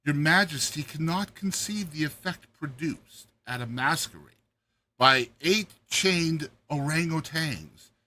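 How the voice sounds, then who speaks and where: Scheming court dwarf, played by a male reader, persuasive and measured, rough tense voice, classic tale. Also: a little high, sharp tone, exaggerated voice, low-quality recording. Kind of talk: dramatized classic short story reading.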